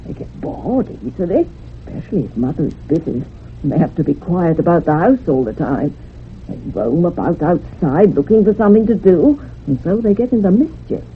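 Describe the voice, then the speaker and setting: A low-voiced village woman in an old radio drama, with a very lively, swooping delivery. She is evasive and matter-of-fact, brushing things off, on a tinny, noisy recording. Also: normal speed. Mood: evasive, explaining.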